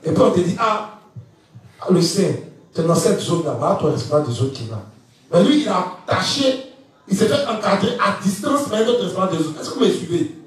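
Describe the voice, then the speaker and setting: A man's voice: sits high, a little fast. A man in his fifties from Ivory Coast, giving an evening church teaching and often asking whether the listeners follow him. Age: 50s. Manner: animated, laughing, asks the audience if they follow. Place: church hall. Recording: echoey room, mic far off.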